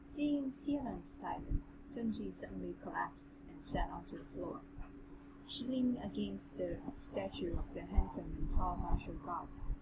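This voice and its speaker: Woman reading a story over noisy audio. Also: lowish voice. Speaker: co-host and narrator of a novel reading. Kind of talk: amateur narration of a fantasy novel with casual commentary.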